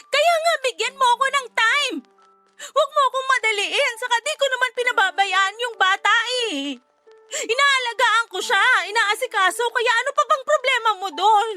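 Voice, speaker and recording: An actress playing a resentful, defensive young wife in a Tagalog radio drama, arguing and complaining emphatically. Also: female voice, very high-pitched, moderate pace, bright, forceful tone, faint music behind. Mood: hurt, sulking. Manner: indignant, argumentative, emphatic complaining.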